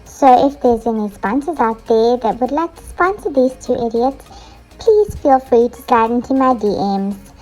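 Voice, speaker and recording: Woman acting a talking dog, sassy, mocking, high voice, comic podcast break, music behind. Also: expressive, moderate pace, darkish tone, poor audio. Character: sassy talking dog mascot, cheekily insulting the hosts.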